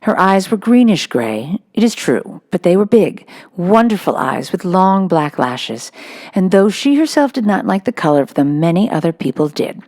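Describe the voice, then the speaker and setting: Low-pitched woman reading a children's storybook. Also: sing-song, moderate pace, darkish tone, no room sound, clean studio sound. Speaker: audiobook reader. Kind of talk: scripted storybook narration for children.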